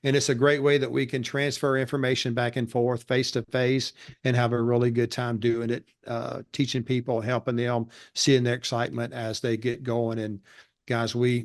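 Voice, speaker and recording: A man with a monotone voice, a real estate educator giving steady explanations in a casual interview. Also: mid-range voice, fairly quick. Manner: steady explanatory delivery with fillers.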